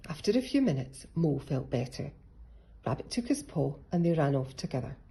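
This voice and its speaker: A woman's voice: low-pitched, very animated, brisk, creaky, warm tone, a little hiss or hum. A woman narrating a children's picture book as a storybook reader.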